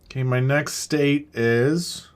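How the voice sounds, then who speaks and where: A man's voice: mid-range voice, steady tone, takes it slowly, clean tone. A man giving casual livestream commentary as a game developer, thinking aloud as they work and answering chat. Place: indoors at a computer desk.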